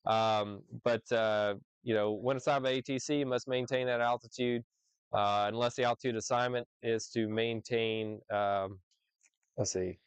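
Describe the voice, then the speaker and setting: A man's voice: medium pitch, steady tone, normal speed, clean tone, no echo. A man teaching informally as an instructor, reading out rules and explaining them conversationally, often checking in with "right?". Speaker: flight instructor leading a discussion.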